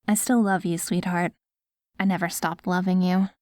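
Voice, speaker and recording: A woman playing an affectionate post-apocalyptic wife in an ASMR audio roleplay, coaxing and gently praising her ghoul husband. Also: fairly low pitch, flat delivery, moderate pace, no echo, studio quality, close to an everyday voice. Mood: tender, wistful.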